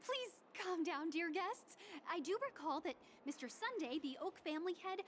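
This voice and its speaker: A woman's voice: extremely high pitch, animated, normal speed, slight background noise. A woman voicing a polite, flustered young hotel receptionist in a video game, courteous and formal. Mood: anxious, placating. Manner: courteous, apologetic service formality.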